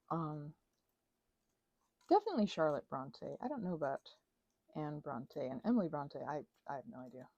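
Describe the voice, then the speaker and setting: Soft husky woman, rambling craft ASMR. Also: low-pitched, no room sound, highs cut off. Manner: hesitant, meandering, self-interrupting. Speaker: host of a craft ASMR channel. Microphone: close mic.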